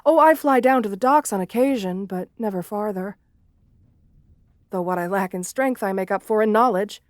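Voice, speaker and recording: A woman's voice: medium pitch, normal speed, dry recording, natural voice. A woman playing a shy, sweet, bookish gargoyle in a romantic ASMR roleplay, speaking hesitantly with polite, old-fashioned formality. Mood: gentle, wistful.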